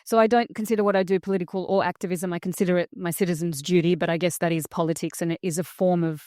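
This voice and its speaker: A woman who grew up in Australia talks casually and haltingly on a panel. Her voice is somewhat low and smooth, and the sound is dry and close. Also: fairly even intonation, dark tone, no echo. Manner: hesitant, with many fillers. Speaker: panel speaker. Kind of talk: casual spoken answer. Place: conference hall.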